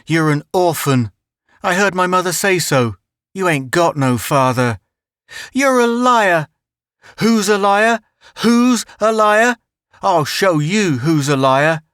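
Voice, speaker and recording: A man's voice: high-pitched, lively intonation, takes it slowly, a bit bright, smooth, no room sound, clean studio sound, pitched above the performer's own voice, heavily acted. A man doing a shrieking, furious boy. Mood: taunting. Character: angry shouting young boy bully.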